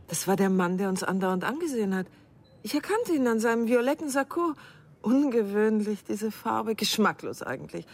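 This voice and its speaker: An actress in a German radio drama playing a composed, probing middle-aged wife with a measured, pointed way of talking. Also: female voice, medium pitch, animated, a little hiss or hum, lightly acted. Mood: curious.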